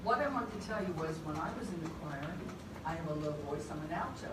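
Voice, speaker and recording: A woman's voice: sits low, expressive, fast, very noisy. A woman in her eighties, a former choir member, chatting about her school days and reading a letter aloud to a reunion group. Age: 80s. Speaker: choir alumna. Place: church hall at a reunion. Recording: distant phone stream in an echoey church hall with a crowd.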